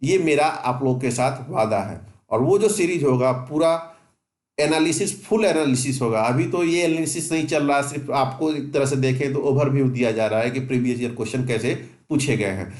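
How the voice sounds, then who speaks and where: A man's voice: medium pitch, moderate pace, darkish tone. A man teaching exam preparation informally to students, repeating and stressing his points in a reassuring way. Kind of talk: informal exam-prep lesson, explaining to students. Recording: echoey, reverberant room.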